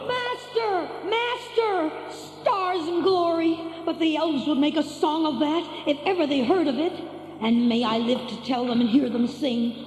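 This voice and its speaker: Fretful, devoted male hobbit sidekick for fantasy audio drama: high, excitable voice ringing in long cavern reverb. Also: a little slow. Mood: pleading. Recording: echoey cavern reverb, faint noise.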